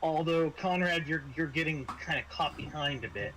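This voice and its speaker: A man working as game master, improvising horror narration in a halting, conversational way. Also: on the high side, a crowd in the background, rough recording.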